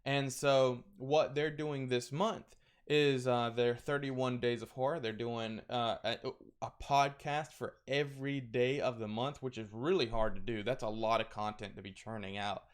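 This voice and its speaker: A man talks in a loose, rambling way, full of "like" and false starts. His voice is crackly, yet it comes through smooth and clean. He sits at a middle pitch for a man. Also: steady tone, moderate pace. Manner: rambling, frequent fillers and restarts. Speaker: streamer recommending horror content. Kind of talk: casual recommendation chat.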